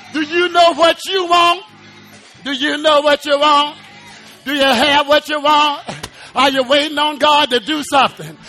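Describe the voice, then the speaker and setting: A man preaching a sermon in church, shouting and half-singing rhythmic lines and calling out repeatedly to the congregation. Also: extremely high pitch. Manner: repetitive call-outs to the congregation.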